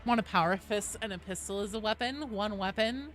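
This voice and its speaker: A woman's voice: mid-range voice, brisk, fairly bright tone, poor audio. A woman game streamer chatting casually and answering viewers, opinionated and enthusiastic, with casual swearing. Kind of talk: casual livestream chat reading and answering viewers.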